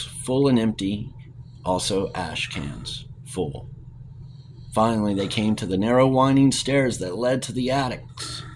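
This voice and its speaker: A man reading a novel as scripted narration, in a measured, expressive storytelling manner. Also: on the low side, a little hiss or hum, low-quality recording. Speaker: audiobook reader.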